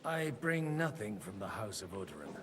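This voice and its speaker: Courtly, animated nobleman. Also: fairly low pitch, moderate pace, in a large hall, background noise. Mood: dry, weary. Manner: courtly, theatrical. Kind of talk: dramatic scripted game acting.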